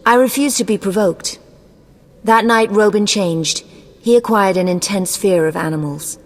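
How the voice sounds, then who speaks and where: Actress as a haughty sorceress in echoing hall. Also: female voice, mid-range voice, normal speed, natural voice. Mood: cool, evasive. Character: haughty, evasive, scheming sorceress. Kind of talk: video game dialogue acting. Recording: long echoing hall reverb.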